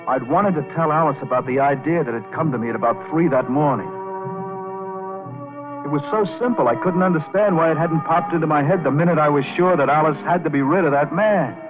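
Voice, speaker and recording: A man's voice: on the high side, fairly quick, close to an everyday voice. An actor playing a hot-headed, lovestruck young American man narrating an old radio crime drama in a brooding, dramatic way. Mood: brooding, intense narration. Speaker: actor, first-person narrator. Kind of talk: 1940s-50s radio drama acting, noir narration. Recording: tinny old radio, band-limited, organ music behind.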